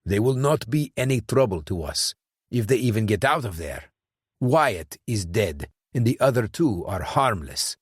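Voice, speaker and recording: A man's voice: lowish voice, very animated, unhurried, breathy edge, no room sound, clean studio sound, heavily acted. A man voicing a nervous, blustering male hired killer who stumbles defensively through his explanations.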